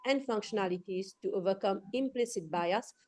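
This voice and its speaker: A woman's voice: medium pitch, normal speed, a little mellow, dry recording. A woman from Mauritius introducing her part of a class presentation. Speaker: student presenter.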